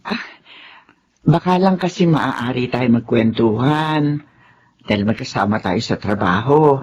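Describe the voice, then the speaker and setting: Woman acting gentle Filipino mother, emotive swooping voice. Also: very deep voice, animated, unhurried, dull audio, slight background noise. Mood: gentle, tentative. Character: patient, gentle housemaid mother, wronged and pleading. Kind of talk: Filipino radio-style melodrama acting.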